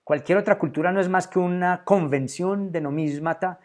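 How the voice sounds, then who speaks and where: A man's voice: high voice, normal speed. A man, maybe, giving a solo philosophy lecture to camera, thinking aloud, restarting phrases and repeating words as he searches for the right term. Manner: thoughtful, with frequent restarts and repeated words while searching for terms.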